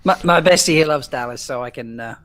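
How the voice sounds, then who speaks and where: A man's voice: medium pitch, a little fast, a bit bright. A man chatting casually as a panelist on a sports show, passing along updates. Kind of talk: casual chat with news updates.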